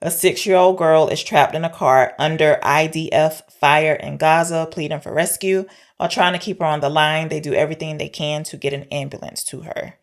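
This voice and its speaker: Low-voiced woman, casual podcast co-host. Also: steady tone, fairly quick. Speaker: podcast co-host discussing films. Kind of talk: casual film discussion.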